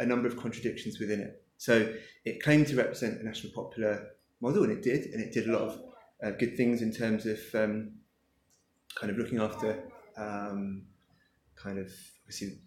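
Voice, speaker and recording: Man, author, hesitant, thinking aloud, ums and kind-ofs, lowish voice, echoey room. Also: slight background noise. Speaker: author at his book launch. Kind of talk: answering audience questions. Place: lecture room with an audience.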